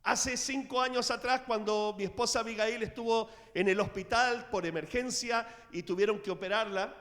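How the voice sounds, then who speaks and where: A middle-aged man preaching a sermon in a church, telling the story with dramatic swings in loudness. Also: high-pitched, steady tone, moderate pace. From Latin America. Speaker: pastor. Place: church sanctuary. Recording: reverberant church hall.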